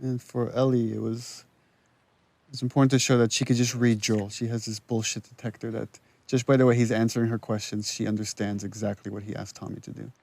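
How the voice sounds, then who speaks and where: A man's voice: fairly low pitch, flat delivery, brisk, vocal fry, darkish tone, no echo. A man giving conversational commentary on game cutscenes as their director, analytical and full of restarts.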